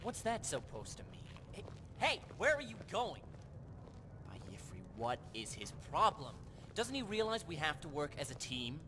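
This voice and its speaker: A man playing a confident, talkative card-player adventurer in a fantasy video game, with a casual, expressive delivery. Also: moderate pace. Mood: indignant. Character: chatty, confident male card-player adventurer, persuasive schemer. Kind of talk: scripted video game dialogue. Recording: clear rumbling background noise.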